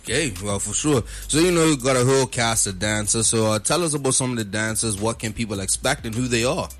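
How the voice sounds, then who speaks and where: A man hosting a morning show from a radio studio, with a middle-pitched voice. His delivery is upbeat and loose, easy and chatty as he brings in his guests. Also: quick pace, faint music behind. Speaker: radio host.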